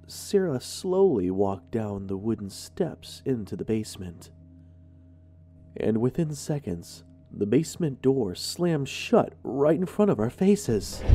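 A man narrates horror in a conversational first-person style. His voice sits at a middle pitch with a soft, mellow tone. He is expressive and animated, moving widely up and down as he tells it.

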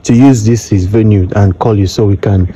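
A man with a Nigerian accent acting in a skit as an excitable young man who repeats his words in agitated bursts. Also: on the low side, moderate pace, slightly rough. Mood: flustered.

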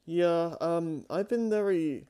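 A man's voice: a little high, slow pace, mellow, clean tone. A man co-hosting a show in casual, unscripted chat, with a hesitant, rambling way of talking. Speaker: co-host of a fan fiction reading show.

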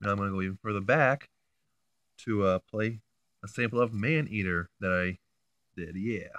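A man's voice: on the low side, moderate pace, fairly bright tone, no room sound. A man talking casually and informally in a rambling, mildly amused way, like someone giving a hands-on hobby demo. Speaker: hobbyist video maker.

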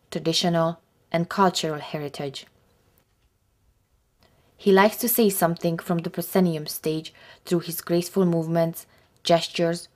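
A woman reading scripted documentary narration in a measured, even way. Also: on the low side.

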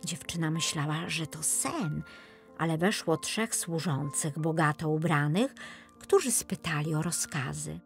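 A woman narrating a scripted children's fairy tale as an expressive storyteller. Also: sits low, unhurried, vocal fry, soft background music. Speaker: main narrator.

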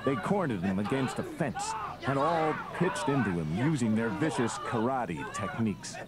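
A man's voice: medium pitch, wildly swooping pitch, moderate pace, over a noisy crowd. A man narrating a read-along storybook in a scripted style. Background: loud music.

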